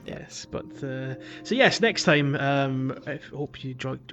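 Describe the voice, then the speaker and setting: A man with a medium-pitched voice hosts a casual gaming podcast. He speaks in a halting, hesitant way, with music in the background. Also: lively intonation, fairly bright tone. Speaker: podcast host. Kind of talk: casual podcast chat about a video game.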